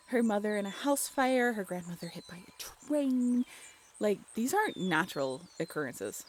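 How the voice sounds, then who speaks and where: A woman's voice: mid-range voice, creaky, darkish tone, a little hiss or hum, natural voice. A young woman playing a playful, tough-talking but shy writer in an intimate, chatty audio roleplay. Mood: eager, storytelling. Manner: chatty, teasing, giggly.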